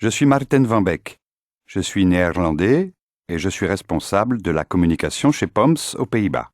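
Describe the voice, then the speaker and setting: A man acting scripted language-course parts as a composed, formal and measured professional. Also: deep voice, expressive, darkish tone, no echo, studio quality. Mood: polite. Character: composed businessman and airline cabin announcer.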